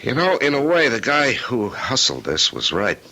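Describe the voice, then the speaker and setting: An actor playing a gruff, wry, probing private investigator with a gravelly voice in an old-time radio drama. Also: male voice, mid-range voice, huge pitch swings, normal speed, bright, forceful tone, full character voice, rough recording. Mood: frank, wry.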